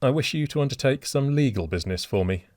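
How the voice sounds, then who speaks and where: A man voicing a grim, vengeful gentleman who speaks slowly and coldly. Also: mid-range voice, animated, no room sound, clean studio sound, voice pushed up, lightly acted. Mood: grave businesslike. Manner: slow, cold, deliberate. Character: cold vengeful middle-aged man, grim and unmoved.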